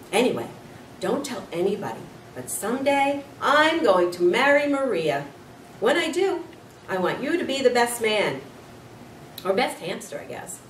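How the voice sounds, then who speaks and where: Woman playing lovestruck storybook man, loud, gushing, lifted lively pitch, noisy roomy recording. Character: exuberant lovestruck man, a school night janitor. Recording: roomy, distant mic, noisy.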